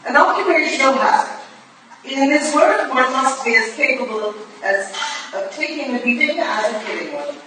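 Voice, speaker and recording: A woman's voice: high voice, lively intonation, moderate pace, pushed and bright, slight background noise. A woman playing a stern, proud, exacting Victorian lady mentor in a staged play reading, clipped and formal, recorded in an echoey hall from a distance. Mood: stern, assured.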